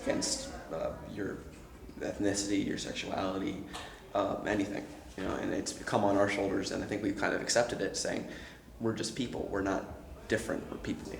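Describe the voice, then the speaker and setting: A teenage boy, a high school actor, answering interview questions in a halting, earnest way full of fillers. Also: little pitch movement, gravelly. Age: teens. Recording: echoey room with noise.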